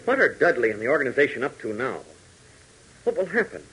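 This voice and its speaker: A man's voice: mid-range voice, very animated, fast, sharp tone, a little hiss or hum, rough recording. An American man narrating a 1940s radio adventure serial in a dramatic, emphatic announcer style.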